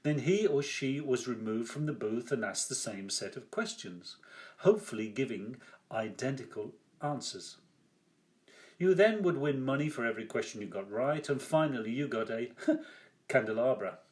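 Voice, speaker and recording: An older man reading his own first-person memoir aloud as its author, with measured, deliberate phrasing. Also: mid-range voice, expressive, a little mellow.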